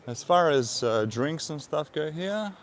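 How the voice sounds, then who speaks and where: A man's voice: fairly high pitch, lively intonation, measured pace, a little hiss or hum. Laid-back bilingual man, laughing often. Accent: Eastern European. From Eastern Europe. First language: Russian. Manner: rambling, laughs often, many fillers. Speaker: vlogger. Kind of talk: casual live-stream chat.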